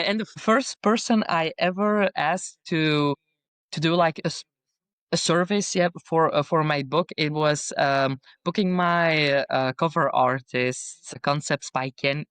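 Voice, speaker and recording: A woman's voice: deep voice, measured pace, fairly bright tone, smooth, no echo. Chatty woman author, non-native English speaker. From Bosnia and Belgium. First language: not English; speaks French, Dutch, Bosnian. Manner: chatty, lots of fillers and restarts. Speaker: guest author. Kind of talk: casual podcast interview.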